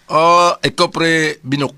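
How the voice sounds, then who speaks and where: A man's voice: on the high side, fairly even intonation, takes it slowly, a crowd in the background. A man with a Cebuano Filipino accent playing a tipsy, stammering drinking buddy who eggs on his friends in a comedy skit. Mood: incredulous. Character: tipsy incredulous drinking buddy stirring up bets.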